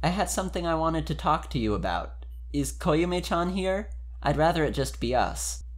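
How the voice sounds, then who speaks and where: A man's voice: fairly high pitch, sing-song, normal speed, pitched above the performer's own voice. A man voicing an earnest anime girl nervously confessing love in a fan fiction reading. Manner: earnest, slightly hesitant.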